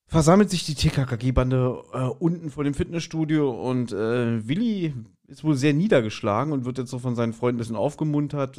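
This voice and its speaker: A man's voice: medium pitch, a little mellow. Chatty man, podcast co-host. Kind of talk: casual chat recapping and reviewing an audio drama.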